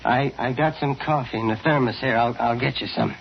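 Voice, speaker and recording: An actor in an old-time radio drama playing a nervous, lonely, murderous department-store window dresser who stammers and hesitates. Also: male voice, medium pitch, fairly quick, vocal fry, lightly acted. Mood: nervously solicitous. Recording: muffled, hissy vintage radio recording.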